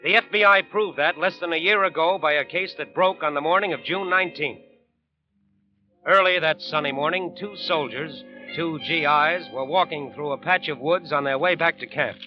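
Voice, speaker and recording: Male narrator, slightly high voice, grave declamatory 1940s radio style, dull vintage sound. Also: moderate pace, soft background music.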